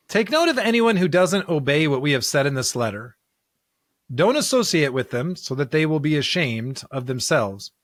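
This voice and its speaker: Higher-voiced man co-hosting a podcast, dry sound. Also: no room sound. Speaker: podcast co-host. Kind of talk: conversational discussion of scripture.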